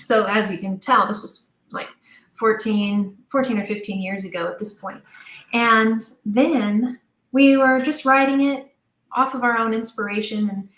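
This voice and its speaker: A woman's voice: on the high side, measured pace. Chatty woman in an echoey room. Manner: chatty, animated retelling with quoted dialogue. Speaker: interview guest, audio drama creator. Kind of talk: casual conversational storytelling. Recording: roomy, echoing sound.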